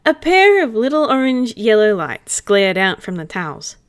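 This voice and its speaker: A woman's voice: mid-range voice, big pitch swings, normal speed, clean tone, no echo, studio quality. A woman narrating a roleplay story read aloud in the third person. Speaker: roleplay reader.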